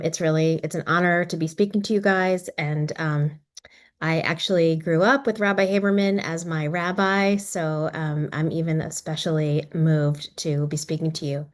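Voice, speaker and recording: Woman, middle-aged, from Maryland, filmmaker, casual talk, lowish voice, halting, reflective. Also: fairly even intonation. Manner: reflective, halting, many ums and restarts. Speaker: documentary filmmaker. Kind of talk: casual talk about her film.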